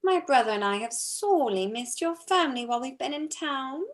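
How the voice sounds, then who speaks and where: An actress plays a haughty, jealous society lady in a period drama. Her voice sits fairly high and swings widely in melody. She is affected and mocking, with a catty polish. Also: lively intonation, fairly quick. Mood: feigned sweetness. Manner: mocking, affected, drawling then darting. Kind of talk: staged reading of period drama.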